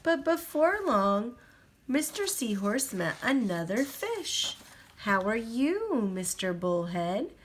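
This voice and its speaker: A woman reads a children's storybook aloud at a slow, unhurried pace. Her voice rises and falls a lot, sing-song and gentle, as she stops to point things out to a little listener at home. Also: on the high side, big pitch swings, slight background noise. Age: adult. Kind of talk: children's picture book read-aloud.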